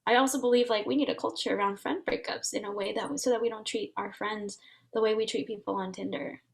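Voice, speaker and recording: Interview guest: woman in her 30s, Vietnamese native speaker, mid-pitched, earnest and searching, lots of restarts. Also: steady tone, quick pace, vocal fry. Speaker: guest, transformation facilitator. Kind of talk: casual reflective interview talk.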